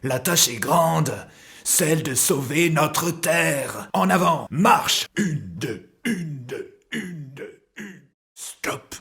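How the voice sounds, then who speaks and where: A man's voice: a little high, lively intonation, extremely slow, gravelly, a bit bright, full character voice. A man voicing a pompous, commanding vegetable army officer in a children's cartoon, barking orders to his troops.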